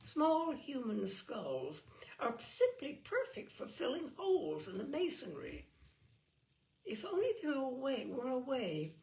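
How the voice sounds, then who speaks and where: A woman's voice: high-pitched, very animated, a little slow, darkish tone. A woman giving an arch, theatrical, mock-serious reading of a humorous book. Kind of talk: theatrical reading aloud of comic prose.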